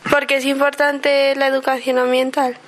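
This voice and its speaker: A woman's voice: sits high, little pitch movement, normal speed. A woman hosting a radio interview, asking short, friendly questions.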